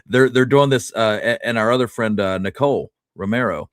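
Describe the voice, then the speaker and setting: A man's voice: medium pitch, fairly quick, fairly bright tone, clean tone, dry recording, clean studio sound. A man speaking casually as a podcast co-host, with an easygoing manner.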